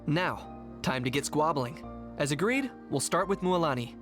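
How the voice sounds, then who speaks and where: A man voicing a cool, dry young man in an anime-style game, measured and deadpan as he directs others. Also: fairly high pitch, over music, natural voice. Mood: composed.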